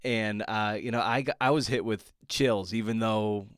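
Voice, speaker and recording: Low-voiced male podcast host, dry close sound. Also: fairly even intonation, normal speed, a bit bright, smooth, dry recording. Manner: colloquial, playful asides. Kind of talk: casual podcast intro and literary interview chat.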